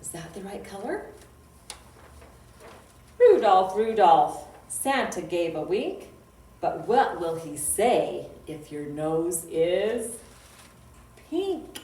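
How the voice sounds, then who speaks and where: A woman's voice: on the low side, sing-song, slow pace, pauses often, warm tone. A woman hosting a storytime for young children, speaking in a playful sing-song way and asking simple questions. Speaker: children's library storytime host. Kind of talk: children's storytime talk, reciting poems and singing. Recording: roomy echo, distant mic, faint noise.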